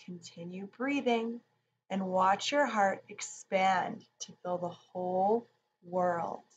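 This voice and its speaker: A woman reads a children's story and guides relaxation gently. Her voice sits at an average pitch and moves slowly and calmly. There is a faint layer of background noise. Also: lo-fi sound.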